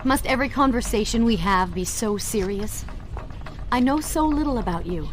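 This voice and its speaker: A woman's voice: a little high, animated, moderate pace, close to an everyday voice. A woman playing a spirited young warrior princess in a video game, direct, playful and caring. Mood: teasing. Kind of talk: video game cutscene acting. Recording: very noisy game audio, clicks and ambience.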